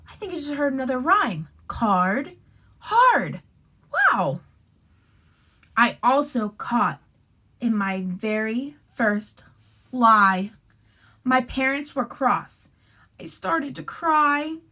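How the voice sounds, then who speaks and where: A woman teacher reading a picture book aloud to young children, expressive and instructive, with casual asides. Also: high-pitched, takes it slowly, pauses often.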